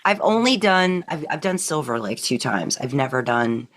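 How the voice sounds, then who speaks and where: A woman podcast host in casual conversation, telling rambling, animated stories. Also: very deep voice, normal speed. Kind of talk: casual chat.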